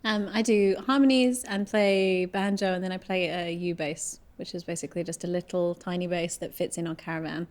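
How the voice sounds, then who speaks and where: A woman's voice: fairly low pitch, steady tone, normal speed, hardly any pauses, darkish tone. A woman, a folk singer and banjo player, chatting casually in an interview.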